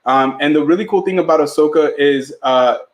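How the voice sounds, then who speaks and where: A man's voice: on the high side, a little fast, echoey room. A man co-hosting a live podcast, talking in a chatty way with lots of fillers and restarts; his family is Mexican and his first language was Spanish. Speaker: podcast co-host.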